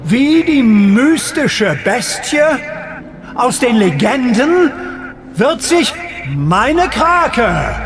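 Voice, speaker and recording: An actor playing a ruthless Nazi commander with a German accent, giving threatening and declamatory speeches. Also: male voice, very high voice, heavily acted.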